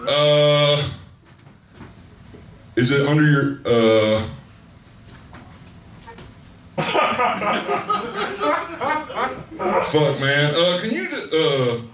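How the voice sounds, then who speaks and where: A nervous stand-up comic stammers through a failing set, as a man acts him out. He talks slowly and a bit high, with many pauses. The voice sounds distant in a roomy, echoing space with clear noise behind it. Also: a bit bright, heavily acted. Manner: hesitant, stammering, nervous laughs. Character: awkward bombing male stand-up comic. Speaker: road comic. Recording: roomy echo from a distance, noisy.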